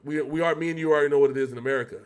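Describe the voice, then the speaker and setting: Male reaction host, fairly high voice, casual commentary, rambling, emphatic. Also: steady tone, very fast, clean tone.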